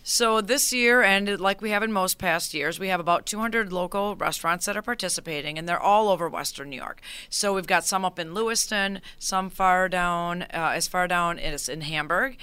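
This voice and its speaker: Brief: female radio guest and organizer, upbeat explainer, bright and crisp voice, dry studio sound. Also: fairly low pitch, no room sound. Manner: upbeat, informative, rapid explainer. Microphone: studio microphone.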